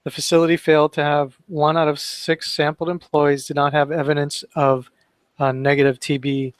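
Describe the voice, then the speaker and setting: Male webinar co-host, casual delivery, higher register, dry close recording. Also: flat delivery, moderate pace, smooth, dry recording. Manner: conversational, reads citations aloud with fillers. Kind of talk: casual training discussion.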